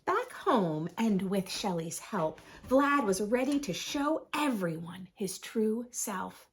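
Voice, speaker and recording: A woman narrates a children's picture book with very animated, swooping pitch. She takes her time, pausing for drama and stretching key phrases. Her voice has a soft, husky edge. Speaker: children's storybook reader, host. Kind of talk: expressive read-aloud narration for preschoolers.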